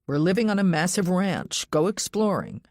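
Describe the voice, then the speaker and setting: A busy husband acted by a woman narrator speaks in an audiobook, brisk and dismissive. His impatient dialogue is recorded dry. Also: lowish voice, animated, a little mellow, no room sound, voice pushed up.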